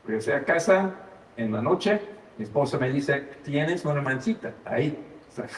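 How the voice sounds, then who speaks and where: A man's voice: mid-range voice, lively intonation, normal speed. An older American man who speaks English as his first language, preaching a sermon, telling a personal story with light self-deprecating humor and frequent hesitations. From United States. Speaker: guest preacher. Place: church sanctuary. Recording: echoey church hall from far off.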